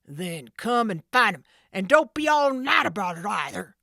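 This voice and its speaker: A woman voicing a gruff male ringleader of thieves who growls and barks orders. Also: on the low side, sing-song, slow, pushed and bright, dry recording, clean studio sound, exaggerated voice. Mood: angry.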